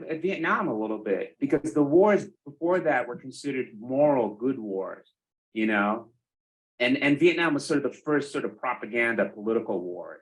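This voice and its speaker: A man with a mid-pitched voice gives a candid personal account. He talks conversationally, often interrupting himself to rephrase. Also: rough recording. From Iraq or Iraqi family, American. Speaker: guest speaker.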